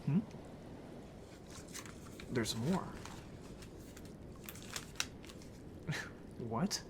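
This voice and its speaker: Man acting an earnest, emotional merman, mid-pitched. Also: expressive, very slow, slight background noise. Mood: puzzled, anxious. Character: earnest, sensitive merman haunted by childhood friendship. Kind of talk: audio drama acting.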